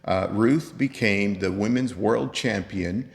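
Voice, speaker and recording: A man's voice: lowish voice, takes it slowly, gravelly. A man in his fifties hosting a show in a casual, conversational and motivational way. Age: 50s. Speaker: host. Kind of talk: casual chat to camera. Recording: echoey room with long reverb.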